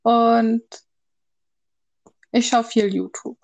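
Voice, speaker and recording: A woman's voice: a little high, fairly even intonation, extremely slow, creaky, a little mellow, poor audio. A woman in her early twenties in a casual, unscripted podcast chat, speaking in a slightly tentative way. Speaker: podcast co-host.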